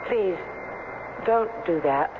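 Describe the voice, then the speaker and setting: A woman's voice: on the low side, lively intonation, slow. A woman acting a guarded, businesslike assistant in a 1970s radio drama, speaking tersely and with reserve. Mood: guarded, curt. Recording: muffled, noisy 1970s radio recording.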